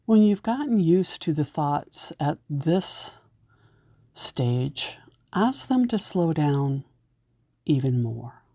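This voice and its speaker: A woman leading a guided meditation in a gentle, soothing way, giving unhurried instructions. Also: low-pitched, very animated, no echo, clean studio sound.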